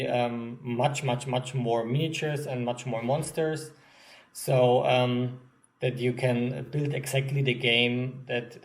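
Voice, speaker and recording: A man with a German accent, a game designer giving an unscripted update to backers, pausing often with many ums and restarts. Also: mid-range voice, a little mellow.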